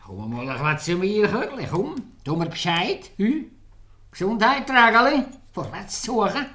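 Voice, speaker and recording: A man's voice: high-pitched, big pitch swings, normal speed, bright, forceful tone, full character voice. Old male soldier, boastful, jovial, mocking, countryside type, 1950s radio play. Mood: teasing, merry. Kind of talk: 1950s dialect-flavoured radio comedy acting.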